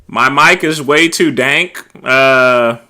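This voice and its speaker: A middle-aged man hosting a casual late-night livestream, talking loudly and easygoing, chatting with his viewers. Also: mid-range voice, slow. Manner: loud, laid-back, drawls filler words like "there". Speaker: livestream host.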